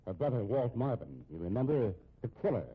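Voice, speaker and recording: An actor playing an anxious, respectable American husband in a 1950s radio drama, speaking in a measured, pointed way. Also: male voice, mid-range voice, big pitch swings, breathy edge. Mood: bitter, pointed. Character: respectable, anxious, shrewd husband held hostage at home. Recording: muffled, tinny old radio recording.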